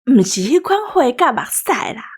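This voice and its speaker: A woman's voice: fairly high pitch, sing-song, moderate pace, breathy edge. A woman with a Taiwanese accent co-hosting a show, bantering with a partner and addressing listeners. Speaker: co-host.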